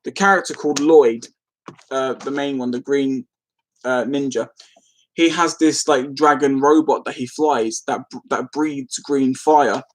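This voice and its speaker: A man's voice: medium pitch, steady tone, a little slow, rough recording. A man hosting a casual live stream, rambling as he replies to comments and easily amused. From London, England.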